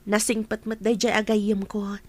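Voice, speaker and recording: A woman's voice: mid-range voice, little pitch movement, normal speed, a little mellow. A woman acting a cheerful young bride-to-be in a radio comedy drama.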